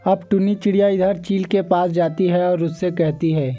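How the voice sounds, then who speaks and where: A male narrator reads a scripted moral tale for children. His voice is high for a man and soft-edged, with very even intonation and music playing behind it. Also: moderate pace. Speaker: story narrator.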